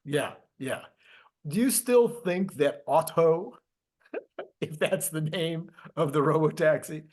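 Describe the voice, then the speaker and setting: A man's voice: fairly high pitch, a little mellow. Man hosting a casual, musing chat. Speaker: host interviewer.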